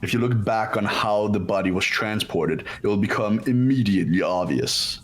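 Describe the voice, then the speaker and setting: A man voicing a composed, formal young rival who explains things in a measured way in a fan-dubbed game. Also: on the low side, soft background music, lightly acted. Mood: cool, explanatory. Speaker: amateur voice actor in a group playthrough. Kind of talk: fan dub of a visual novel game.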